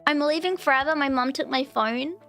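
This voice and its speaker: A woman chatting casually on her live stream, with a cute, high voice, rambling and giggling through restarts and fillers. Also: fairly quick, pushed and bright. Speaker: VTuber streamer. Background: faint background music.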